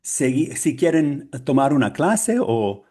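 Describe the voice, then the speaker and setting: Slow, halting man with a Mexican-tinged accent. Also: a little high, big pitch swings, dark tone. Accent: Mexican-influenced Spanish. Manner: hesitant, searching for words with many fillers. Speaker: Spanish student and co-host. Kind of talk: casual podcast chat.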